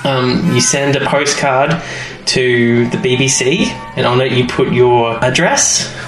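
A man's voice: mid-range voice, a little slow, a bit bright, soft background music. A man co-hosting a podcast, chatting casually about a TV series. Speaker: podcast host. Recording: echoey room, faint background noise.

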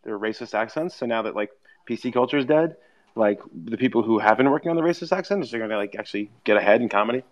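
Quick, animated male co-host, loose and profane, mid pitch. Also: highs cut off. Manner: animated, swears freely, puts on excited voices when quoting. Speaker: podcast co-host. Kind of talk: casual chat.

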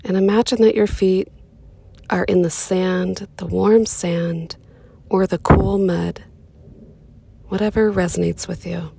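Soft slow lady doing a guided meditation, gravelly fry, really mellow, close dry sound. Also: mid-range voice, slight background noise. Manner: soothing, unhurried, pausing between short phrases.

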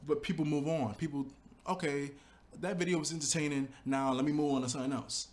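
A young adult man, a YouTuber, talking casually and earnestly about building his channel, with lots of "you know" and quoted thoughts. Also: medium pitch. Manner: earnest, animated storytelling with fillers like "you know". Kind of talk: casual reflective monologue.

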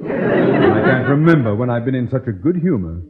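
An actor playing a blustery, pompous older school principal, stuffy and sputtering, in a radio comedy. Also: male voice, medium pitch, sing-song, normal speed, over a noisy crowd, very noisy, close to an everyday voice. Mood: smug good humor. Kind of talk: 1950s radio comedy acting. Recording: tinny old radio recording, loud studio audience.

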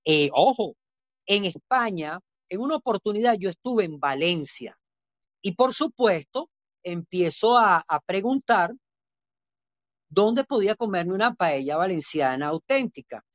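A man's voice: high voice, expressive, unhurried, no room sound. A man with a Venezuelan accent, a guest chef in an interview, talking in a proud, emphatic, lecturing way. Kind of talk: interview talk. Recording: dull, dry lo-fi recording.

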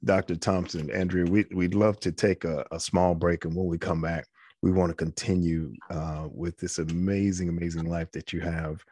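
A man's voice: deep voice, flat delivery, warm tone, no echo. A man from New York hosting a faith-based talk show, often repeating words as he speaks. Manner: halting, repeats words while talking.

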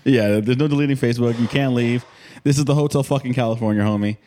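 A young man co-hosting a casual podcast, laid-back and swearing freely, tossing in quick asides. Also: medium pitch, dark tone, slight background noise. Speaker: podcast co-host. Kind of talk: casual chat. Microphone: desk mic, seated.

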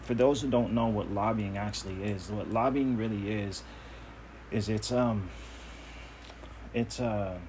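A man's voice: lowish voice, measured pace, darkish tone, slight background noise. A man talking on his own podcast in a casual monologue, earnest, self-assured and emphatic. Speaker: podcast host.

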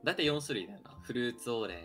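A man's voice: mid-range voice, expressive, very fast, a bit bright, faint music behind. A young man co-hosting a casual radio chat, speaking in an agreeable, conversational way. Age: young adult. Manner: agreeable, frequent backchannels. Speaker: radio co-host.

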